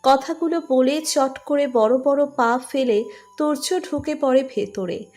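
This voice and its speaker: A woman's voice: high voice, measured pace, darkish tone, soft background music. A woman reading a scripted romantic story as narrator.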